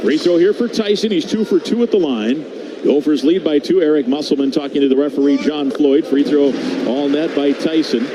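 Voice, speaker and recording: A man's voice: on the high side, a little fast, background noise. A man giving live radio-style basketball play-by-play as the commentator, in clipped running descriptions that lift on big plays. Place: basketball arena, courtside.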